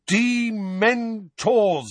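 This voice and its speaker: Male narrator as defensive teen boy, angry and emphatic, voice raised high; audiobook. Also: drawn-out words, no room sound. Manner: loud, emphatic, exasperated. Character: angry, indignant teenage boy, defensive and stubborn.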